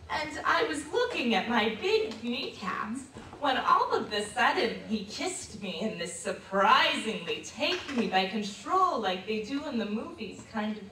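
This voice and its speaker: An actress playing a reticent, embarrassed old lady reminiscing about her youth in a theatrical stage monologue, hesitant and confiding with dramatic pauses. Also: on the high side, pushed and bright, exaggerated voice. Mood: wistful. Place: live stage performance in a hall. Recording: distant mic in echoey room, noisy.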